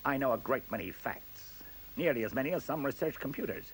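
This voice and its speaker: A man's voice: mid-range voice, lively intonation, brisk, lightly acted, poor audio. A male actor playing an arrogant, sceptical professor in a radio play, with a precise and pompous manner. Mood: sceptical, challenging. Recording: faint hiss on radio play recording.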